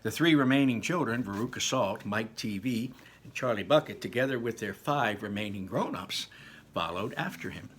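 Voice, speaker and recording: A man's voice: fairly low pitch, expressive, normal speed, a bit bright, a little hiss or hum. A man reading a children's book aloud, telling the story expressively with small slips now and then. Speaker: reader.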